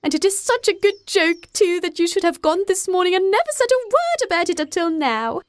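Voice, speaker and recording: Woman acting a shrill, excitable middle-aged mother. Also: brisk, no room sound. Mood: gushing delight. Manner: fretful, effusive, dramatic. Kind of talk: audiobook character acting.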